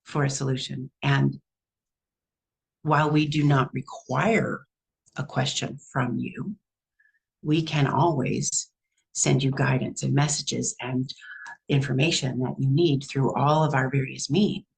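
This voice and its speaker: A Texan-accented woman channeling a calm, guiding female spirit in a slow, soothing way. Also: a bass voice, creaky, full character voice, poor audio.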